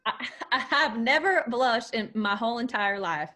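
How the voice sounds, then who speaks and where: Woman playing spirited young female musician, drama table read, casual, expressive, unhurried, lightly high-pitched. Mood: playful, flustered. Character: Charlie, spirited young woman touring with a country band.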